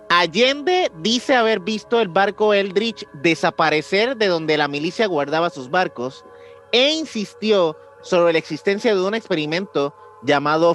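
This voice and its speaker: A young Mexican-accented man tells a mystery story in loose, slang-heavy chat, stopping to act out voices in quotes. His voice sits a bit high. A soft music bed plays underneath. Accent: Mexican Spanish. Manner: slangy, says "güey" often, acts out voices in quotes. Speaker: podcast co-host. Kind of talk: casual chat retelling a mystery.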